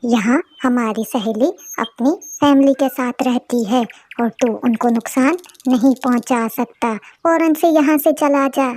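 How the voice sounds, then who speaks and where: A woman's voice: high-pitched, measured pace, few pauses, a little mellow. A woman voicing a bold, protective female crow in a moral cartoon, with an earnest, emphatic manner. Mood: scolding, threatening.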